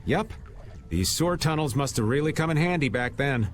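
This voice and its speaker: Actor as easygoing worldly adventurer, animated melody. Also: male voice, on the high side, moderate pace, slight background noise, close to an everyday voice. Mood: casual, informative. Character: worldly, knowledgeable male adventurer, easygoing explainer. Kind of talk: video game voice acting, exposition.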